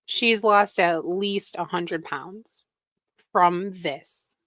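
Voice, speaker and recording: A woman streams her reaction to a video in a low, slightly gravelly voice. She talks slowly, with wry, critical asides. Her mic sounds close and dry. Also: fairly bright tone, dry recording. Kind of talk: casual reaction commentary.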